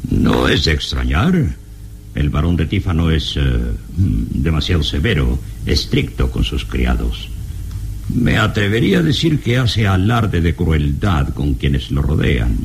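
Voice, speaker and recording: Deep, gravelly-voiced earnest professor. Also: male voice, big pitch swings, exaggerated voice. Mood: earnest, wary. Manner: deliberate, explanatory, hesitating over words. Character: learned, earnest male professor, hero's companion. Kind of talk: old Spanish-language radio serial acting. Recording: slightly noisy old radio recording.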